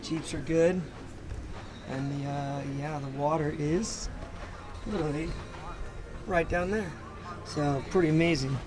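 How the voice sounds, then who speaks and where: A man's voice: fairly high pitch, steady tone, measured pace, long pauses. A man chatting casually and enthusiastically to viewers as an informal vlog host, recorded outdoors. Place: outdoors at a forest campsite. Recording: clear outdoor ambient and vehicle noise.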